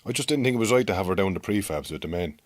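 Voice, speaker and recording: An actor playing an easygoing, coaxing Irish farmer husband in a radio drama, a little defensive, with an Irish accent. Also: male voice, sits low, animated, very fast, no room sound. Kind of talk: naturalistic radio drama dialogue.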